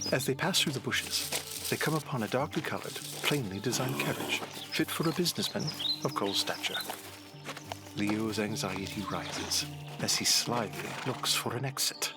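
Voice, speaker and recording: A man narrates an audio drama from a script. His voice sits at an average male pitch, with music playing behind it. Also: normal speed.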